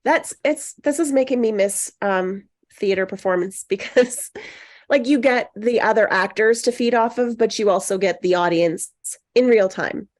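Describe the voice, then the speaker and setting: A woman talking informally in a group discussion, quick to laugh. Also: mid-range voice, darkish tone. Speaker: actor in table read cast.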